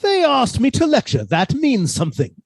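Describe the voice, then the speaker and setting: A man playing a meek, middle-aged British botanist husband, submissive and quietly sly, in a radio suspense drama. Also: very high voice, wildly swooping pitch, normal speed, gravelly, slightly rough, dry recording, exaggerated voice. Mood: submissive, mild.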